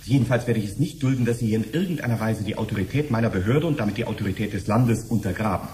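An actor playing a fussy, officious middle-aged bureaucrat in a radio drama, pausing and hesitating as he questions. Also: on the low side, darkish tone, close to an everyday voice. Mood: indignant. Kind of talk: 1970s German radio drama acting. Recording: slightly noisy old radio drama recording.

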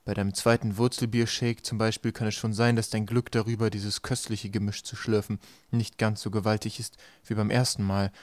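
A man's voice: fairly low pitch, little pitch movement, fairly quick, few pauses, dry recording. A man reading scripted storybook narration as an audiobook narrator.

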